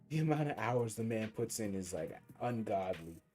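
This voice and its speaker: A man's voice: on the low side, big pitch swings, measured pace, mellow. A young man giving rambling, slangy commentary while livestreaming a mobile game, with faint game music behind him. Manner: rambling, slangy, thinking aloud. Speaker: gaming streamer. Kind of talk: casual livestream commentary over gameplay.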